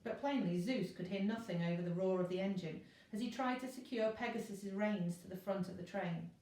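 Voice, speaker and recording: A woman reads a children's adventure story like a teacher before a class. Her narration is expressive, at a middle pitch. She sounds some way from the mic in a strongly echoing room. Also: a little fast. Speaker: teacher reading a class novel. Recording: very echoey room, distant mic.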